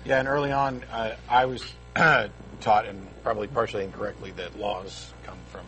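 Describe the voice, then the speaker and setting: A man's voice: fairly low pitch, steady tone, moderate pace, over music, poor audio. A tired man co-hosting a call-in show, direct and wry when answering callers. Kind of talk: casual talk-show discussion. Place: studio.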